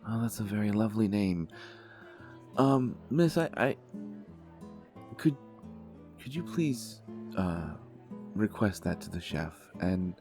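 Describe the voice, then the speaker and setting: Audio roleplay date: gentle, bashful god of desire played by a man, deep and unhurried, stammering politely, faint music behind. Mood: polite, nervous. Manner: hesitant, stammering, softly polite. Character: shy, gentle, blind young male god of desire.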